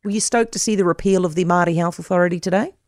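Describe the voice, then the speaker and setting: A woman from South Africa with a New Zealand accent, a radio host questioning a guest in a quick, animated way. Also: on the low side, no room sound. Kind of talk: radio interview.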